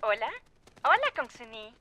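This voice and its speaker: A woman's voice: a little high, big pitch swings, unhurried, sharp tone, lo-fi sound, heavily acted. A woman playing a friendly adult caller talking to a child in a children's cartoon dub, sing-song and encouraging.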